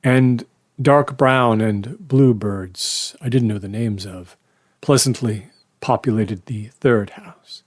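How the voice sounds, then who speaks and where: An actor playing a reflective lawyer, narrating a horror audio drama in the first person with a measured, wistful, understated delivery. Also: male voice, medium pitch, no room sound, clean studio sound. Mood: wistful, somber. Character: reflective male lawyer recalling an old murder trial.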